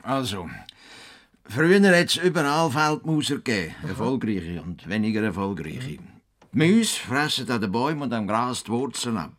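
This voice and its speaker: An actor with a Swiss German accent playing an earnest, stubborn farmer in a radio play, dogged and grumbling. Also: male voice, medium pitch, expressive, normal speed, a bit bright. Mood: earnest conviction.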